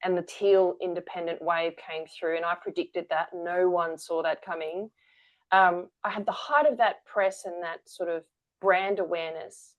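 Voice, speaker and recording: A woman's voice: lowish voice, fairly even intonation, moderate pace. A woman, a guest telling her story candidly in an interview. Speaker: guest, energy-work founder. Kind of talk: conversational interview.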